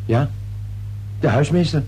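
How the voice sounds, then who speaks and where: A man's voice: fairly high pitch, measured pace, mellow, natural voice. An actor playing an uneasy, wary middle-aged husband and father in a radio drama. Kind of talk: Dutch radio drama acting. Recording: noisy old radio recording with hum.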